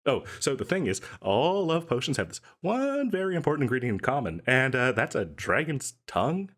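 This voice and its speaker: Male actor as eager salesman, animated swooping pitch, rambling, stammering, coy, cheerfully shady, comedic audio roleplay. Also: moderate pace. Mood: chatty, impressed. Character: overeager male yandere-agency salesman, chatty and cheerfully shady. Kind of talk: comedic audio roleplay, one side of a conversation.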